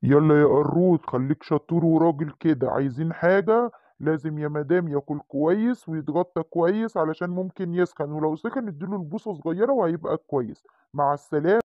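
A man's voice: fairly high pitch, fast, no echo, muffled recording, natural voice. A man voicing a kindly dog doctor who coaxes children into their shots, with an Egyptian accent. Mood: soothing. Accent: Egyptian Arabic. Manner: soothing, cajoling.

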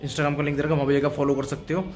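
A man's voice: a little high, level tone, very fast. A young man from India talking casually to his viewers as a vlogger, filming on a phone outdoors on a busy city street. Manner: chatty, addresses viewers as brother; shouts over a pushing crowd. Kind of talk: casual vlog chat. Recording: loud crowd and street noise.

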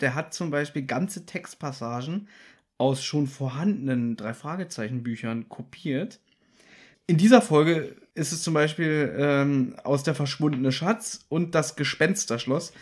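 A man's voice: a little high, moderate pace. A man co-hosting a podcast in casual, conversational discussion. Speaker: podcast co-host.

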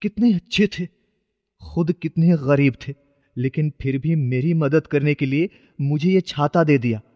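A man's voice: fairly high pitch, measured pace, in a large hall, highs cut off, natural voice. A man voicing a poor, kind-hearted young shoe-shine boy, earnest and pleading, in a children's moral story. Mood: wistful.

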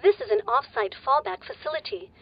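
A woman voicing a polite female base computer AI who speaks in a calm, formal, deadpan way, a little patronizing. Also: high voice, fairly quick, dull audio.

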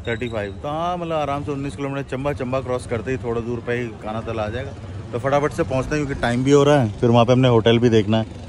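A man in his thirties narrating a casual travel vlog while driving mountain roads and touring a hotel, chatty and excitable, mixing English into his Hindi. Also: mid-range voice, fast talker, noisy background. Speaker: travel vlogger. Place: in a car on mountain roads and at a hill resort.

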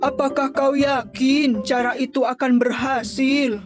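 Woman voicing a high-pitched, envious storybook donkey. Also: fairly even intonation, measured pace, over music. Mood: pleading, puzzled. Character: envious, sulky, overworked donkey pleading for affection. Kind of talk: children's storybook character acting.

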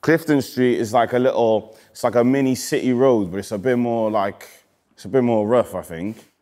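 A man in his thirties from Wales with a Cardiff accent, a rapper casually reading out comments in a relaxed, slangy way that often trails off quietly. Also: medium pitch, moderate pace. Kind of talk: casual chat. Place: indoor studio with graffiti wall.

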